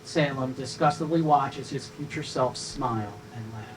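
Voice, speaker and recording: Male narrator, medium pitch, scripted stage directions, live table read, distant mic, slight noise. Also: normal speed.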